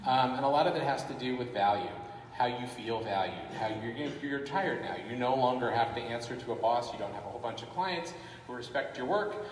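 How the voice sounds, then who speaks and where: Brief: male conference presenter, brisk and casual, booming hall reverb, distant mic. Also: slight background noise. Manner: brisk, loose with fillers. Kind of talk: conference talk. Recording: echoey hall from far off.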